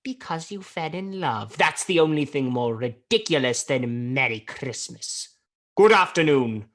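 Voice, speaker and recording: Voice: mid-range voice, expressive, a little slow, fairly bright tone. An actor playing a bitter, miserly old businessman in a radio play, scornful, emphatic and theatrical. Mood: cold, dismissive.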